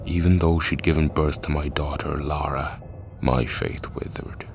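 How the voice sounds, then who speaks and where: Man acting as philosophical cult leader, grieving father, gravelly bass, measured delivery, audio drama, noisy ambient backdrop. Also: fairly even intonation, full character voice. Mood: grave, confiding. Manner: measured, eloquent, musing. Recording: clear background noise and ambience.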